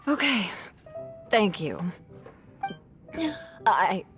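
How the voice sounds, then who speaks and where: A woman voicing a proud young female knight in a video game, tipsy, guarded and halting. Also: medium pitch, sing-song, a bit bright, soft background music, exaggerated voice. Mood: touched, flustered. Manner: halting, slow, sighing. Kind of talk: video game cutscene acting.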